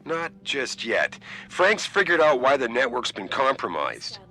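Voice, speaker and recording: An actor playing a commanding, businesslike company boss in a video game, giving orders decisively. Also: male voice, medium pitch, very animated, measured pace, pushed and bright, exaggerated voice. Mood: brisk, commanding. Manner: decisive, directive.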